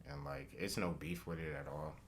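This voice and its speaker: A young man being interviewed as a recording artist, talking in a relaxed, slangy way and thinking aloud with fillers. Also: extremely low pitch, moderate pace, a little hiss or hum. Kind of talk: casual interview chat.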